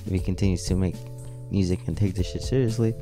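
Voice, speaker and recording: A man's voice: deep voice, steady tone, fast. A man co-hosting a music show, chatting casually and informally with plenty of slang. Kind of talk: casual chat about music.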